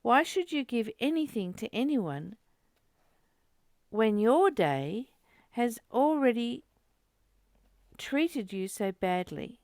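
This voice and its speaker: A woman reading aloud and explaining a spiritual text as the host of a study group, in a deliberate, informal teaching way. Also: fairly high pitch, sing-song, darkish tone, no echo, clean studio sound.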